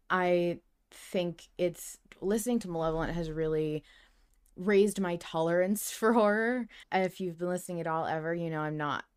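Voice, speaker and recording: Clear, lowish-voiced woman podcast co-host. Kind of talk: casual fan podcast chat.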